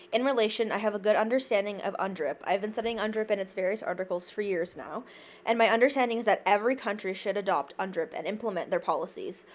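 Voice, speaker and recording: A young woman from Canada, a university student, reading a prepared class presentation in an earnest, careful way, with small slips and restarts. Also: medium pitch. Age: young adult.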